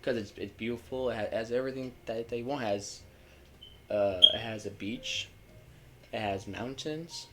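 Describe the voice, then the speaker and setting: A man's voice: medium pitch, moderate pace, very noisy. A young man co-hosting a casual podcast, rambling and stumbling over words, swearing freely. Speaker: podcast co-host. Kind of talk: casual chat.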